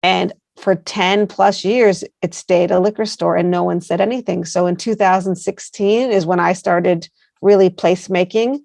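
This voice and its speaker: A woman's voice: lowish voice, fairly even intonation, moderate pace, creaky, darkish tone, no room sound, studio quality. A woman with an American accent, answering interview questions earnestly, with many fillers and rambling asides. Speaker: guest, placemaking founder.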